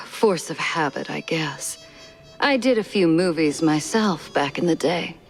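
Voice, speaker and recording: An actress playing a sultry, theatrical former film star and femme fatale in a noir video game. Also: female voice, low voice, slow pace, soft background music. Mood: mournful, dramatic.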